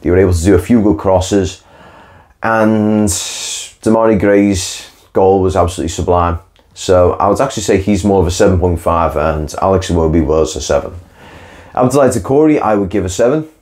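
A man's voice: deep voice, moderate pace, darkish tone. A young man hosting a football reaction video, talking in an opinionated, conversational way with the odd sigh, tired after a long day, filmed indoors by a window. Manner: conversational, opinionated, occasional sighs and restarts. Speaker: football channel host. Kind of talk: post-match reaction monologue. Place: indoor room with window onto a marina.

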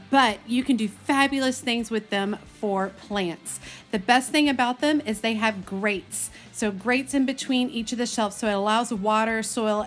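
Chatty Texan woman, higher-pitched voice. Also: a bit bright. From Texas, United States. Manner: chatty, enthusiastic, folksy. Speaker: host of a gardening video channel. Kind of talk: casual vlog narration.

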